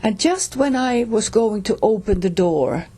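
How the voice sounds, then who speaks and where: A middle-aged woman with a Finnish accent, telling a personal story slowly, with pauses and restarts. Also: fairly high pitch, animated, mellow, slightly rough. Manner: slow, halting, with pauses and restarts. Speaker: reader and storyteller.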